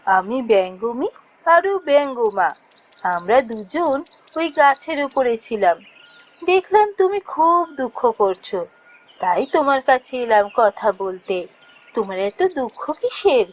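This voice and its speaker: A woman voicing a compassionate magical female bird in a fairy-tale cartoon, gentle and soothing. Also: fairly high pitch, very animated, unhurried. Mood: gentle concern.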